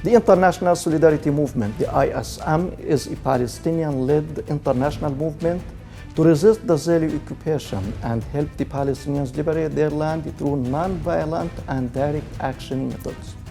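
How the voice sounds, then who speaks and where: A man's voice: on the high side, brisk, creaky, dark tone, soft background music. A man hosting a broadcast programme, speaking in a formal interviewing style.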